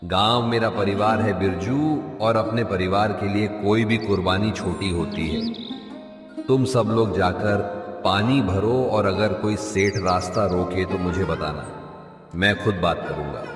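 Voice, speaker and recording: A man voicing a caring, dutiful village headman in a cartoon, with calm, solemn authority. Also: deep voice, a little slow. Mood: reassuring. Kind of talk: Hindi moral story cartoon dub. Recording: echoey reverb with clear background music.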